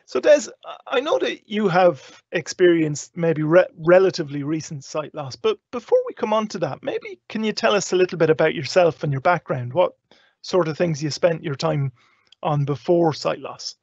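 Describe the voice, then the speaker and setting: A man's voice: fairly high pitch, big pitch swings, normal speed, vocal fry, a little mellow, slightly rough, no room sound. An Irish man hosting a live online show, speaking as presenter to guests and viewers. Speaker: show host. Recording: dull, close lo-fi online audio.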